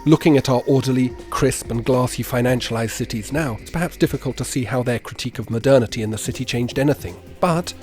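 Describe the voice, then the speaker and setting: A man's voice: medium pitch, quick pace, hardly any pauses, darkish tone, soft background music. A man hosting a podcast, speaking in articulate, formal phrases as he introduces and interviews.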